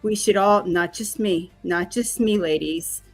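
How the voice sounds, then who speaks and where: A woman's voice: a little slow, faint music behind, low-quality recording. A woman hosting a casual online chat, asking her guest questions in a friendly, talkative way. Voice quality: calls her own voice squeaky. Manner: gravelly, chatty, asks questions. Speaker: host.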